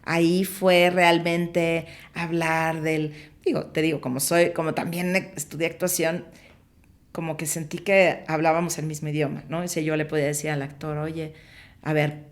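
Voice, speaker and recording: A woman's voice: low-pitched, normal speed. A middle-aged woman with a Mexican Spanish accent, a film producer chatting in an interview, telling stories with lots of restarts and fillers. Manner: animated storyteller, many restarts and fillers. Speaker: film producer and director, podcast guest. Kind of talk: casual conversational interview.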